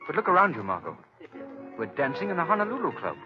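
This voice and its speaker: An actor playing a suave, earnest gentleman in a 1930s radio drama, on a muffled old recording. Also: a little high, wildly swooping pitch, fast talker. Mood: puzzled, intent. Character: suave gentleman sleuth, puzzled, intent, protective. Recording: muffled old radio, loud music behind.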